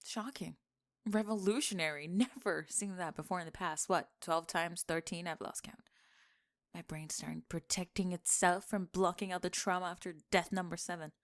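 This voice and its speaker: A young woman playing a teasing, sarcastic tomboy gamer friend in an acted ASMR roleplay, with expressive sighs and laughter. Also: on the low side, normal speed, lightly acted. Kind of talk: acted ASMR roleplay, one-sided conversation.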